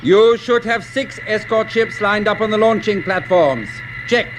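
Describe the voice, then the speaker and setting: An actor playing a cautious, dutiful second-in-command on a spaceship, formal and clipped, in 1950s radio drama style. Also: male voice, sits high, unhurried, over loud music. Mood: crisp, dutiful. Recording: noisy old radio broadcast recording.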